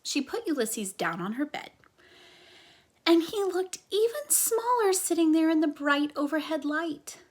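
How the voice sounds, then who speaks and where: A woman reading a children's book aloud as narrator, expressively and with a slightly husky, breathy voice, explaining things to young listeners. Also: very high voice, lively intonation, unhurried. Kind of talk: children's storybook reading with brief asides.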